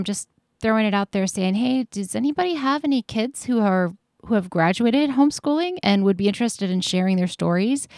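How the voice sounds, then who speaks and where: A woman in her thirties hosts a podcast, chatting in an easygoing, upbeat way and laughing often. Her voice sits at a middle pitch and sounds close and dry, with no room sound around it. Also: darkish tone, dry recording. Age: 30s. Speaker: podcast host. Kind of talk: casual podcast intro and interview.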